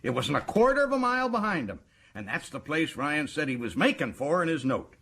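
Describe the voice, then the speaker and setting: An actor playing a folksy, self-important American prison governor in a radio drama, chatty and stammering with excitement. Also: male voice, on the high side, brisk, breathy edge, heavily acted. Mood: matter-of-fact, musing.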